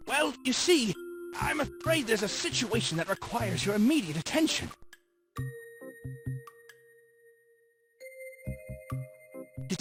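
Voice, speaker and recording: An actor playing a cheerful, theatrical professor in a visual novel game, speaking in an effusive, dramatic, expository way. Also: medium pitch. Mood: urgent. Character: cheerful, theatrical creature-research professor guiding a trainer. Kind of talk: scripted visual novel character acting. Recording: background music under the voice. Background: game music.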